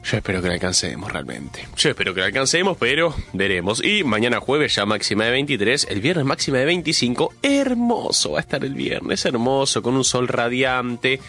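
A man's voice: mid-range voice, sing-song, moderate pace, hardly any pauses, pushed and bright. A man with a Buenos Aires accent hosting live radio, running through the news conversationally with his own opinions, repeating phrases for emphasis, while suffering from a cough and a cold. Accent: Argentine, Buenos Aires. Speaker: radio host. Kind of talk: live radio show opening, news rundown with commentary. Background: music bed.

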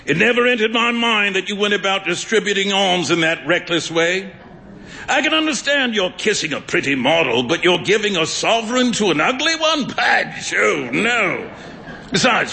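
An actor playing a well-off painter who gently mocks his friend, in a live dramatic reading. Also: high voice, vocal fry, pitched above the performer's own voice, full character voice. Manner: jovial, mocking. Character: teasing well-off male painter, amused and breezy. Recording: long stage reverb in a hall.

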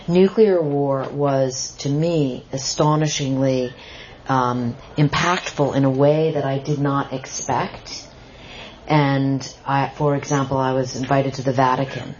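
Rich: An earnest woman author at a bookstore talk, restarting her thoughts and reflecting aloud. She speaks at a middle pitch. The recording is muffled, with faint noise underneath. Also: fairly even intonation, unhurried, a little mellow. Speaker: author and journalist. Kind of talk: book talk and audience Q&A. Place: bookstore event.

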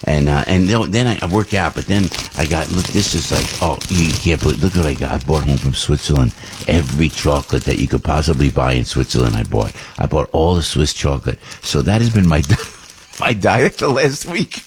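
A man's voice: rumbling low, expressive, fairly quick, noisy background. An older man hosting a radio talk show, rambling and chatty with frequent restarts. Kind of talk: casual political talk radio monologue.

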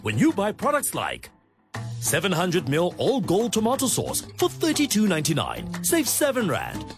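Man, radio ad voice-over, wildly swinging pitch, clear music bed. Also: huge pitch swings, normal speed. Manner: smooth, upbeat sell. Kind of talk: scripted ad narration.

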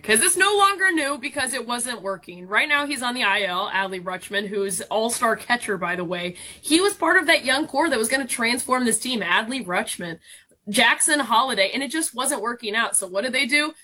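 A woman's voice: on the high side, expressive, normal speed, sharp tone. A woman in her twenties giving conversational sports analysis as a radio guest, explaining things emphatically and informally. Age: 20s.

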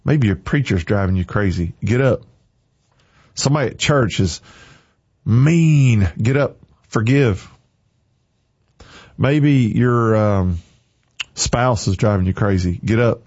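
A man's voice: on the low side, lively intonation, slow, long pauses, darkish tone, breathy edge, dry recording. Male morning radio host, preachy and fervent, dry close studio sound. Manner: fervent, preachy, repeats short commands. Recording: dry, slightly muffled studio.

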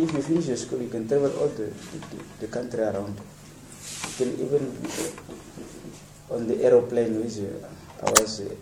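A man born in Namibia who works as a radio presenter, giving an informal, encouraging talk to young people and often restarting his sentences. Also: lowish voice. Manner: encouraging, rambling with many restarts. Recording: roomy, distant, faint background noise.

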